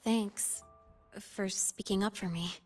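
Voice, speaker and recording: An actress voicing a guarded, sharp-witted young academy student in a video game, cool and wry in manner. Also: female voice, mid-range voice, steady tone, takes it slowly, faint music behind, lightly acted.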